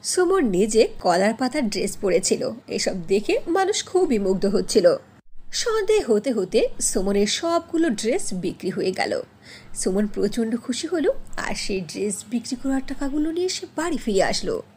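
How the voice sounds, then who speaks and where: A woman's voice: on the high side, sing-song, normal speed, a little mellow, a little hiss or hum. A woman narrating a scripted cartoon story as the storyteller.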